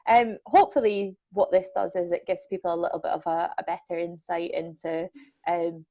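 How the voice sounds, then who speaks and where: A woman's voice: fairly low pitch, flat delivery, normal speed, mellow, dry recording. A young Scottish woman from the Borders, a welfare manager chatting casually and self-deprecatingly with lots of ums. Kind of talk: casual chat. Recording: dull lo-fi sound.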